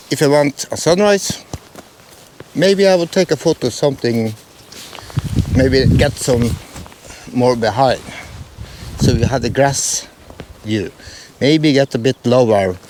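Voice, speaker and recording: A man from Norway talks in English with a clear Norwegian accent, casually explaining how he plans his photos. He hesitates often, filling gaps and restarting phrases, at an average pitch for a man. A faint hiss of noise sits under the recording. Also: takes it slowly, long pauses, darkish tone. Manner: hesitant, many fillers and restarts. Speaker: photography vlogger.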